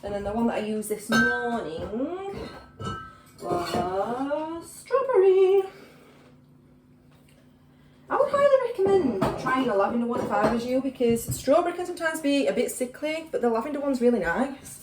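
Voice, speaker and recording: A woman's voice: sits high, big pitch swings, normal speed, recorded from a distance, music in the background. A young woman with a Yorkshire accent, chatting casually to camera in her kitchen, rambling with lots of fillers. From Yorkshire, England. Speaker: vlogger. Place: home kitchen, indoors. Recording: echoey room with background noise.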